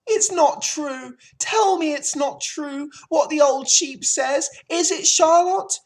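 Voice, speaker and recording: A woman's voice: sits high, huge pitch swings, drawn-out words, a little mellow, pitched above the performer's own voice, full character voice. A woman voicing an anxious, childish young male pig from a storybook, wailing and pleading in an emotional way. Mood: panicked screaming. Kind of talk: storybook character voice.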